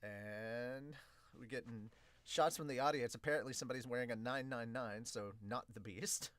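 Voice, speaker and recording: An informal, exclamatory podcast host who swears freely while reading and reacting in an excited commentary style. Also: mid-range voice, normal speed, no room sound. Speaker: host reading and reviewing a manga.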